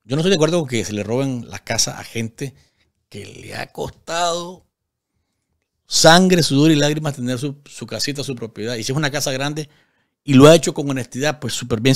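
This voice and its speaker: A man's voice: mid-range voice, brisk. Emphatic Nicaraguan male commentator. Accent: Nicaraguan Spanish. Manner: emphatic, repeats phrases, rhetorical questions. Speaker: political commentator and show host. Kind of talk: live political commentary. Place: small indoor studio at a desk. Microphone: desk studio microphone.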